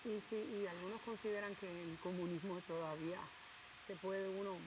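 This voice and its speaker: A woman hosting a television interview, empathetic and quick to interject. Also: fairly low pitch, fairly even intonation. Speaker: TV host. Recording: hissy TV broadcast audio.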